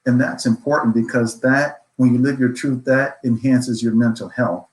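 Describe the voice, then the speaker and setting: A man gives reflective commentary as a panelist. His mid-pitched voice moves very little in tone, and the room around him rings with echo. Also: fairly even intonation, moderate pace. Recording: echoey room.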